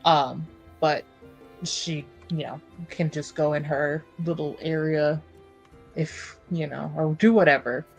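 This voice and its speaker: Slow-talking, lower-pitched woman gaming. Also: fairly even intonation, vocal fry, soft background music, low-quality recording. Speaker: player in a tabletop roleplaying game. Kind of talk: casual improvised game talk.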